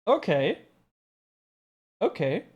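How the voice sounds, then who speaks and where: A man calling an esports match live from a home streaming mic, in a voice that sits a little high. He thinks aloud as picks come in and adds self-deprecating asides along the way. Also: sing-song, very slow, darkish tone, breathy edge, lo-fi sound. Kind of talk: casual live game commentary.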